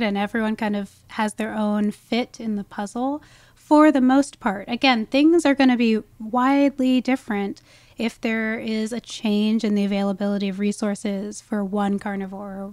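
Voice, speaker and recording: A woman's voice: a little high, a little mellow, dry recording. A woman speaking as an expert guest in a conversational podcast interview, explaining things with frequent "you know" asides. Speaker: guest wildlife ecologist.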